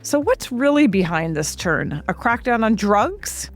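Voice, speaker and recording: A woman's voice: on the high side, sing-song, unhurried, soft background music. A woman hosting a policy podcast, reading a scripted intro and asking probing interview questions in a poised manner.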